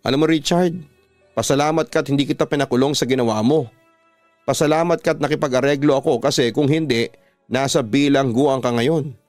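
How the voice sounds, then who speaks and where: A man's voice: mid-range voice, a little fast, darkish tone, dry recording, faint music behind, natural voice. A Filipino man voicing a defiant, wounded young woman in a radio drama, indignant and emphatic. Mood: firm, threatening.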